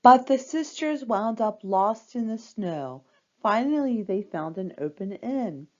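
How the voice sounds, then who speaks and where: Animated woman reading a children's story. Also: on the high side, measured pace, dull audio. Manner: expressive, dramatic delivery for young children. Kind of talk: scripted read-aloud storytelling.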